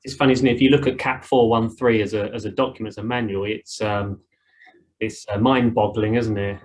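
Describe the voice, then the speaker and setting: A man's voice: lowish voice, steady tone, a little fast, a little mellow, slight background noise. Informal British man hosting a chat. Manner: informal, colloquial. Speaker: host of an online ground school session.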